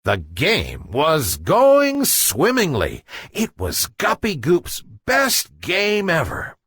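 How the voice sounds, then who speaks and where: Slow, highly animated male storyteller. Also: breathy edge, no echo. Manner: dramatic, builds suspense. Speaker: children's storyteller. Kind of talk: expressive scripted bedtime story narration.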